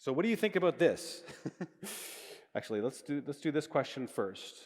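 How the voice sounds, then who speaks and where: A man's voice: a little high, very animated, moderate pace. A middle-aged man giving a live physics lecture to students in a large hall, explaining casually and correcting himself as he goes, speaking into a handheld microphone. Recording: long reverb of a large hall.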